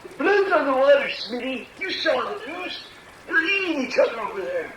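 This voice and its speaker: A man's voice: extremely high pitch, sing-song, moderate pace, crowd noise. A man playing a paranoid, drunk old street doomsayer in a radio drama, raving in a strained voice. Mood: ominous raving. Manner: raving apocalyptic pronouncements. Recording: echoey, set back in rain.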